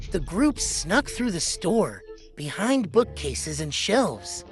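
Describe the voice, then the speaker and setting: A woman narrating a children's read-along storybook in a scripted storytelling style. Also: mid-range voice. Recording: music behind the voice. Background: music.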